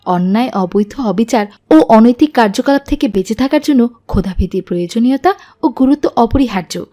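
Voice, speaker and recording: A pious cartoon teacher voiced by a woman speaks in a fairly high voice. The delivery is formal, earnest and didactic, as in a scripted moral lesson. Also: lively intonation, fairly quick, warm tone, close to an everyday voice. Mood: earnest, instructive. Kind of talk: scripted Islamic cartoon dialogue.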